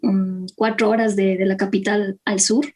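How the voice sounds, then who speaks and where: A woman's voice: mid-range voice, little pitch movement, moderate pace, darkish tone. A woman from Chimborazo, Ecuador, whose first language was Kichwa, answering as a guest singer hesitantly with many fillers. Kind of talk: interview answer.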